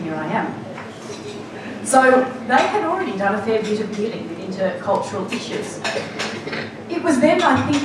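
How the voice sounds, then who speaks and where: A woman's voice: mid-range voice, noisy background. A woman giving a reflective personal talk at a public forum, with frequent ums and restarts. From Australia. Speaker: forum speaker. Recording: echoey hall recorded from a distance.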